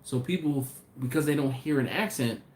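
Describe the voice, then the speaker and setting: A man's voice: on the high side, normal speed. A man hosting a casual live stream, reading viewer comments aloud and rambling with frequent restarts. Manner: rambling, talks to viewers directly, restarts often. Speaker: barbecue channel host. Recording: roomy echo, faint ambient noise.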